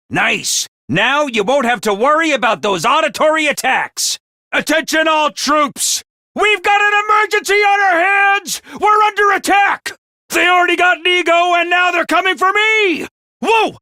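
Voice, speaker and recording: A man's voice: very high voice, bright, forceful tone, dry recording, clean studio sound, heavily acted. A man voicing a chipper, cheerfully ruthless commanding officer of child soldiers in a video game, with bouncy drill-sergeant cheer over cold pragmatism. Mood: excited panicky. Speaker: voice actor for a video game character. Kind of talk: game character voice lines.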